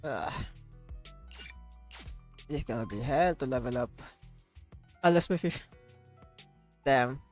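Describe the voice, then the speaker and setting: A man's voice: medium pitch, slow, long pauses. A man livestreaming a game, chatting casually in a rambling, repetitive, slangy way. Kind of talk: casual livestream chat over gameplay. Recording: loud game music behind. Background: game music and audio.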